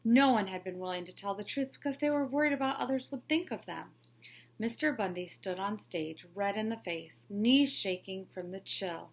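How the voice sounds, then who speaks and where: A woman, a teacher, narrating a children's picture book aloud in a clear, expressive storytelling manner. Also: medium pitch, normal speed. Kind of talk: children's read-along narration.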